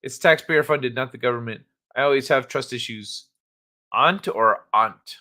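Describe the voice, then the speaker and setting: A man's voice: mid-range voice, measured pace, pushed and bright. A man hosting a live stream, chatting casually and rambling with jokes and swearing. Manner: rambling, joking, profane, laughs often. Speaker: livestream host. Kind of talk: casual live-chat talk.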